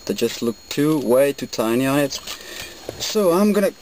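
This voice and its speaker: A man's voice: mid-range voice, lively intonation, normal speed. A man with a French accent, a native French speaker, narrates a casual, unscripted car vlog outdoors in a hesitant, candid way, swearing casually. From Switzerland. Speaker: amateur car enthusiast filming own video. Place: outdoors beside a parked car. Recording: noisy amateur recording with car sounds. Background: loud car engine and ambient noise.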